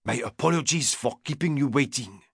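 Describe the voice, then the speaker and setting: A man's voice: medium pitch, a little fast, gravelly, fairly bright tone, husky, no echo, studio quality. A man putting on a proud French chef. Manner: indignant, theatrical.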